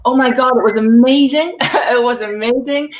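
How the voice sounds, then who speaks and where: Deadpan Dutch-born woman comedian. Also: on the high side, normal speed, slight background noise. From Netherlands. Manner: dry deadpan delivery with laughing asides. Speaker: stand-up comedian. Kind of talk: stand-up comedy set. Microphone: video call.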